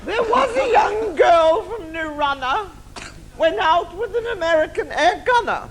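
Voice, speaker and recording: Man acting a tipsy older pantomime dame, saucy, theatrical, very high pitch, lively swoops, live stage recording. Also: slight background noise, full character voice. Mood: bawdy. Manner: saucy, drawn-out theatrical delivery.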